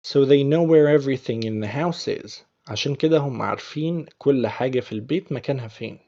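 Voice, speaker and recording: Brief: Egyptian male teacher, mellow dark voice, dull lo-fi recording. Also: medium pitch. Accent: Egyptian Arabic. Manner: patient, repeats phrases slowly before explaining. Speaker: English teacher. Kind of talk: explaining film lines in a language lesson.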